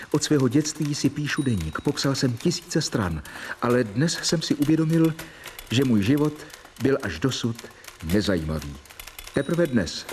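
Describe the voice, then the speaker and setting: A man playing an earnest, precise doctor who narrates his diary in a radio play with deliberate, clinical delivery. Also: mid-range voice, slight background noise, natural voice.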